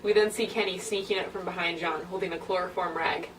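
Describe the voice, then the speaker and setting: A woman's voice: fairly low pitch, fairly even intonation, quick pace. A woman reading stage directions in an informal, amused amateur script read-through. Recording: distant mic, noisy room.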